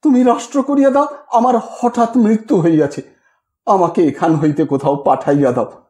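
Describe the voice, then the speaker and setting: A man's voice: high voice, fairly even intonation, moderate pace, a little mellow, echoey room, natural voice. A man voicing a distressed young woman, a reluctant bride pleading in an audio story.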